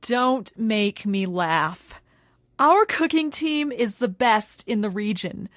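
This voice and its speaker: A woman's voice: fairly high pitch, lively intonation, takes it slowly, fairly bright tone, no echo, studio quality. A woman playing a scornful, sarcastic female village leader in a comic radio play. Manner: taunting, sarcastic.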